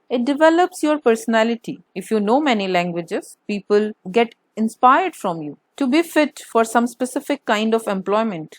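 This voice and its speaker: Woman, fairly high voice, earnest teacher, dry close sound; good for an explainer. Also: no echo. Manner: earnest, asks and answers her own questions. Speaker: language teacher, channel host. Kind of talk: explanatory lesson to camera.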